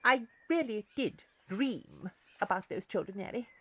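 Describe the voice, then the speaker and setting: A woman's voice: mid-range voice, measured pace, slightly rough. A woman playing an imperious, easily exasperated Queen in a dramatized audiobook. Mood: bewildered. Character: regal, composed, exasperated Queen waking from a dream.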